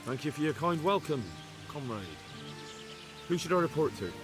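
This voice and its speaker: An actor playing an earnest, dutiful young scout working undercover in a video game drama. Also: male voice, on the high side, normal speed, over music, close to an everyday voice. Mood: guarded, polite.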